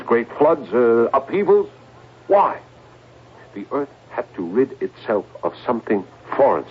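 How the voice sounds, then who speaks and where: An actor playing a desperate, paranoid psychiatric patient in a radio drama, halting and agitated, repeating himself and pleading. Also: male voice, medium pitch. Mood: haunted, intense. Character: desperate paranoid male psychiatric patient, convinced aliens threaten mankind. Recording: narrow tinny old radio broadcast, hiss.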